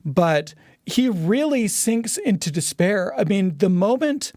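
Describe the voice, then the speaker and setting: A thoughtful man guesting on a film podcast lays out his points in a measured, analytical way. His voice sits a touch high and sounds dry and close. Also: big pitch swings, a little mellow, dry recording. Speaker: podcast guest, audio drama producer. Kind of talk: casual film discussion.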